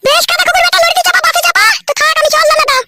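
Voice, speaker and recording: A man voicing a furious, foul-mouthed village woman who scolds and curses in a comic cartoon, with a pitch-raised voice. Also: fairly even intonation, racing, vocal fry, heavy background noise. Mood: angry, indignant. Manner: scolding, cursing, aggressive.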